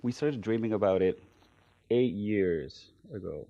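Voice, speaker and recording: Low gravelly guy from venezuela, hesitant, lots of ums, dry muffled audio. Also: animated, measured pace, no echo. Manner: hesitant, many ums and uhs, soft trailing phrases. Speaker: podcast guest. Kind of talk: relaxed conversational interview.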